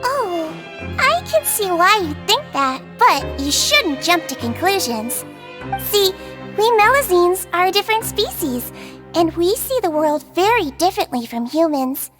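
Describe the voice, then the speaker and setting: A woman voicing a small, gentle nonhuman nurse in a video game, calm and polite as she explains. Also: very high voice, a little slow, music in the background, heavily acted. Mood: calm, explaining. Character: small gentle female Melusine nurse, sweet nonhuman creature. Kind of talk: video game character dialogue.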